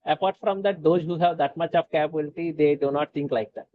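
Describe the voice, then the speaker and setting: Halting Telugu-speaking man, fairly high voice. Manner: halting, many fillers and restarts. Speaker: conversation guest. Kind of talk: casual conversation. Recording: dull lo-fi sound.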